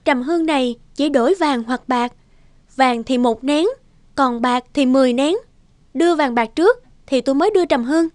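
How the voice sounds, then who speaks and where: A woman voicing a slim young peasant woman, guarded and earnest, in an animated moral tale. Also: high voice, normal speed, no echo, lightly acted. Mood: firm, businesslike.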